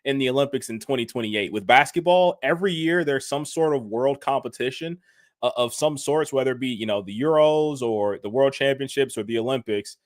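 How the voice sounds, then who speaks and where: A man co-hosting a sports podcast, explaining news and making his case in a measured, casual way. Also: mid-range voice, no echo, studio quality. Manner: measured, explaining, some restarts. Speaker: podcast co-host.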